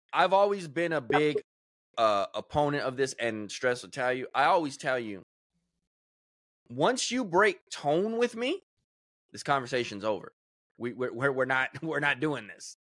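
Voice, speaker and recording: A man hosts a casual podcast, speaking emphatically and restarting points with repeated words. His voice is bright and swings widely in pitch, recorded close and dry. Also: no room sound. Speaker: podcast host.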